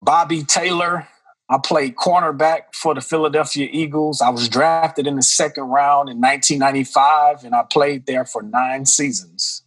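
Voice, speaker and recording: A former football player from East Texas, telling his story in a relaxed interview. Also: on the high side, moderate pace, rough recording.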